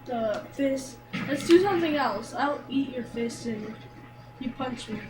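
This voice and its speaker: A young woman teasing her friend with deadpan jokes while they play a game together. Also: high voice, measured pace, background noise. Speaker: gamer. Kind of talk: casual gameplay chat.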